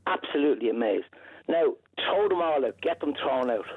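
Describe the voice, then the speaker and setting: A man's voice: fairly high pitch, animated, moderate pace, husky, no echo. A man from Ireland, phoning in to a radio show, indignant and emphatic, repeating himself. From Northern Ireland. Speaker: caller. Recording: dry, tinny phone line.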